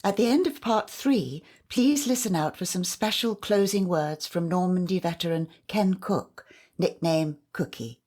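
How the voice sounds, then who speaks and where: A woman with a fairly low voice delivers a scripted introduction. She speaks as the announcer of an audio play, reading prepared narration. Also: a little slow, darkish tone.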